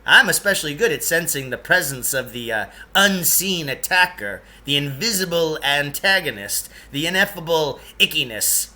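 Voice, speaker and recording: A man's voice: a little high, animated, normal speed, few pauses, bright, forceful tone. A man voicing a pompous, jittery eccentric guide in a storybook, with emphatic, florid delivery. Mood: ominous, pompous. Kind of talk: storybook character voice.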